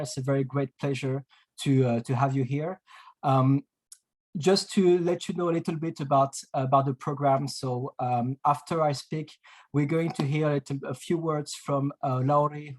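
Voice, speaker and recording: A man's voice: medium pitch, steady tone. French-accented man hosting a webinar. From France. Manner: halting, many fillers and restarts. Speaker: webinar host.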